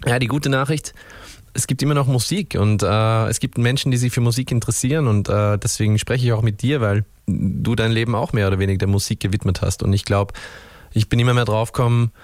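Thoughtful Austrian man with a low voice. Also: fairly even intonation, fast talker, darkish tone, no echo, studio quality. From Upper Austria. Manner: thoughtful, rambling, many restarts and fillers. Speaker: musician guest. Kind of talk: radio interview.

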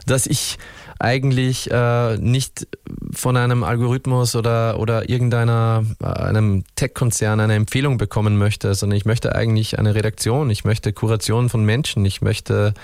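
A man's voice: on the low side, flat delivery, normal speed, a little mellow, dry recording, studio quality. A man with an Austrian accent, a musician answering radio interview questions in a thoughtful, rambling way with many restarts. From Upper Austria. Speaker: musician guest.

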